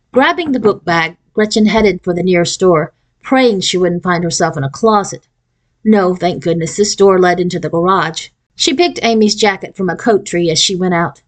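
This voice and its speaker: A woman narrating a children's novel as an expressive read-aloud. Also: lowish voice. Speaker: storybook reader.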